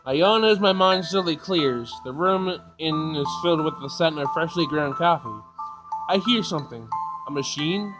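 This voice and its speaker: A man's voice: fairly high pitch, animated, normal speed. A man hosting a casual gaming video, reading game text aloud informally and stumbling over words, with game music behind him.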